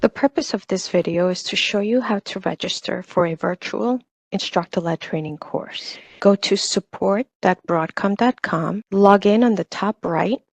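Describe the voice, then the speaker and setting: A woman reading scripted tutorial narration in a measured, instructional way. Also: medium pitch, vocal fry, no room sound, soft background music.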